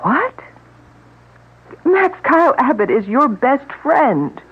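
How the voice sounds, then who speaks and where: A woman's voice: sits high, big pitch swings, takes it slowly, heavily acted. A woman playing a worried, sensible wife who speaks anxiously and pleadingly in a radio drama. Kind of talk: 1970s radio drama acting. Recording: tinny old radio broadcast.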